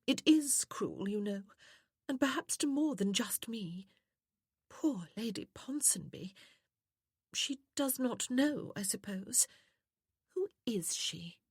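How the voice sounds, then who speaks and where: A woman reader acts out a wounded young widow in a period romance audiobook. Her voice is soft, sweet and raised high, often slipping toward a breathy whisper. She speaks with tearful, genteel reproach in a dry, close recording. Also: expressive, slow pace, pauses often, no echo, full character voice.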